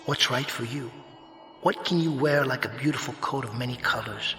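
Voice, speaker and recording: Sardonic, echoing otherworldly voice. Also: male voice, medium pitch, bright, forceful tone. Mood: knowing, warning. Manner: measured, formal, mocking and articulate. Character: calm, sardonic, otherworldly voice of judgement. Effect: heavy reverb. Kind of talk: radio drama acting. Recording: long echoing reverb, music behind.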